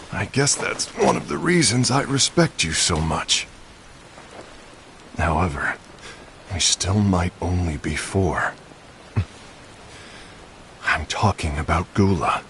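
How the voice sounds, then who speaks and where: A man's voice: sits low, gravelly, a bit bright, full character voice. A man voicing a bitter, wounded warrior in a video game cutscene, brooding and intense. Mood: weary, respectful. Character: bitter, wounded male warrior, grudgingly respectful. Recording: rain noise behind the voice.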